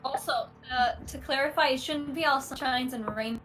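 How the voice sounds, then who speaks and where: A woman's voice: high-pitched, steady tone, moderate pace, fairly bright tone. A woman co-commentating in a casual chat on an online group voice call. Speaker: co-commentator on a reaction show. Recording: faintly noisy voice call.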